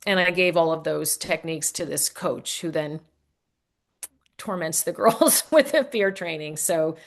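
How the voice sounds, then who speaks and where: A woman's voice: low-pitched, measured pace. A woman author speaking as an interview guest, explaining in a casual, rambling way with self-corrections.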